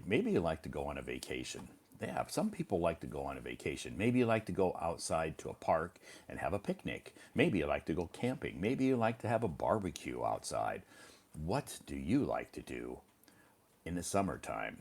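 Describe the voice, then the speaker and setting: A middle-aged man hosting a casual live stream, chatting and answering viewer comments. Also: rumbling low. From Iowa, United States.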